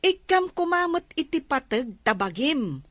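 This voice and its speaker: A woman acts an exasperated, protective Filipina friend in an audio drama. The voice is high and swoops widely as she admonishes with emphasis, recorded close and dry. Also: very animated, unhurried, a bit bright, no echo, exaggerated voice. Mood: scolding, insistent. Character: exasperated, protective female friend lecturing on self-love. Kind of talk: emotive audio drama acting.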